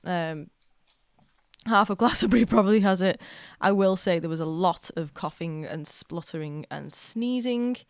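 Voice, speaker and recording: A young woman streaming from home, chatting in a rambling, excitable way while getting over a cold with a slight cough. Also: on the low side, moderate pace, dry recording, studio quality. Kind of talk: casual live-stream chat.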